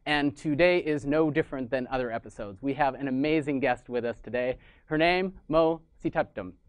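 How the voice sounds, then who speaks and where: Male show host, mid pitch, enthusiastic interviewer, dry booth sound. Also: moderate pace, clean tone, no room sound. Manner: enthusiastic, repeats "amazing", some restarts. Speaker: podcast host. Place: Sydney, Australia. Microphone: video call.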